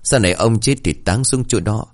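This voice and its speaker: A man's voice: fairly low pitch, moderate pace, voice pushed up, natural voice. A man voicing a scheming middle-aged geomancer who talks insistently and persuasively.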